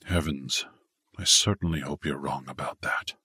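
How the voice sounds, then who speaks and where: A man's voice: rumbling low, moderate pace, bright, forceful tone, slightly rough, no room sound, clean studio sound, voice pushed down, exaggerated voice. A man voicing a jowly older businessman in an audiobook, grave, cautious and subdued. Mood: doubtful, cautious. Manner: subdued, doubtful, weary.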